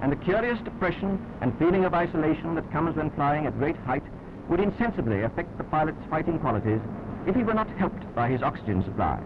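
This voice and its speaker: Lively British wartime newsreel man, noisy recording. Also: fairly high pitch, fairly quick. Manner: wry, clipped, understated. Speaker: newsreel narrator. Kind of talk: 1940s wartime newsreel commentary. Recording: muffled noisy 1940s newsreel soundtrack.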